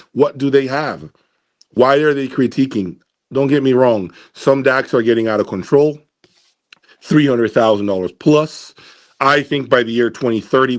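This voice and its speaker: Man giving emphatic audio gear opinions. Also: medium pitch. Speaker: audio gear reviewer and host. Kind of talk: casual opinionated commentary to viewers.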